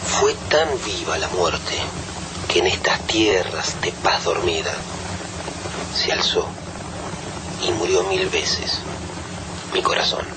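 Low, slow, mournful poetry reciter. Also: male voice, very noisy. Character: mournful male reciter of a war poem.

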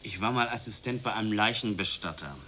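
An actor playing a sardonic, boastful drifter with a wry, domineering manner in a radio play. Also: male voice, fairly low pitch, fairly quick, bright, forceful tone. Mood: boastful, deadpan. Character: cocky, sardonic drifter and dealer, domineering toward women. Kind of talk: German radio play acting. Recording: noisy 1980s radio play recording.

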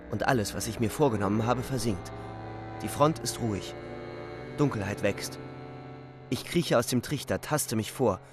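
A young front soldier acted by a man recounts the war in terse present-tense lines. His voice sits in a middle register, in the style of a literary radio play. Clear music runs underneath. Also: medium pitch, lightly acted. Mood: tense, weary.

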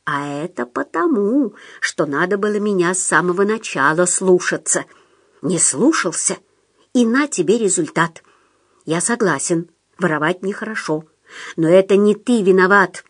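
A woman's voice: on the low side, animated, normal speed, slightly rough. A woman voicing an insinuating male phantom in an audiobook, coaxing and nagging in a familiar, plaintive, conspiratorial way. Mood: urgent coaxing. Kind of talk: audiobook character voice.

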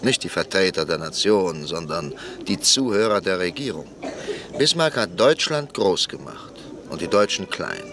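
An actor playing a middle-aged Reichstag deputy, blunt and sardonic, in a radio drama. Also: male voice, low voice, lively intonation, moderate pace, noisy background. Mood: stern. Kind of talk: 1960s radio drama acting.

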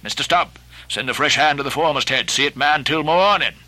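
A man voicing an old, obsessed sea captain, declaiming archaic lines with booming passion. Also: a little fast, bright, forceful tone. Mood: commanding. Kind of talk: audiobook character voicing.

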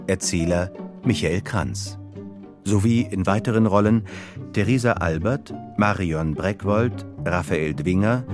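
A man reading scripted radio announcements in a measured, formal manner. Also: low-pitched.

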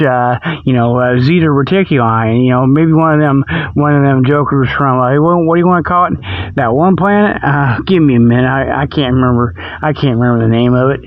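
A man's voice: medium pitch, steady tone, fairly quick, no echo, lo-fi sound. A man hosting a radio show in a rambling, folksy, repetitive comic monologue, recorded outdoors on a tablet. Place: outdoors on a walk before dawn. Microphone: budget tablet held in hand.

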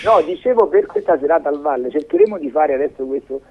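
A man's voice: a little high, animated, quick pace. Middle-aged man, muffled lo-fi line, wry, rambling, casual interview talk. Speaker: guest filmmaker interviewed by phone. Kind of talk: casual chat. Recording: dull, band-limited phone-like sound.

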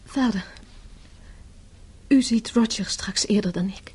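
Husky, mellow, resolute daughter. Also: female voice, mid-range voice, slow pace. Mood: quietly resigned. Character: resolute captive grown daughter, pragmatic and composed. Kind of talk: radio drama acting.

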